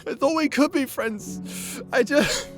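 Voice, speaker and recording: A performer playing an insecure, emotional young male wizard, earnest and halting, in a fantasy audio roleplay. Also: sits high, fairly bright tone, faint music behind. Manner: halting, stammering, pleading.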